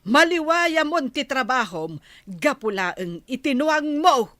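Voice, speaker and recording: A woman's voice: high-pitched, very animated, takes it slowly, fairly bright tone, no echo, exaggerated voice. A woman playing a scolding, exasperated village woman in an audio drama, with an Ilocano accent.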